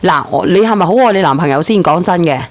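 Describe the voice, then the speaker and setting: A woman speaks at a rapid clip as host of a live call-in chat. She presses her guests with blunt, teasing questions. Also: lowish voice, expressive.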